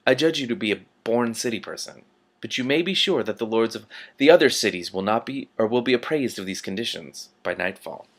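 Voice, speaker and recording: A man reads as a coolly threatening lord from a fantasy storybook. He is formal and measured, wrapping menace in polite phrases. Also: medium pitch, lively intonation, fairly bright tone. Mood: silkily threatening. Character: smooth, menacing male ruler issuing veiled threats.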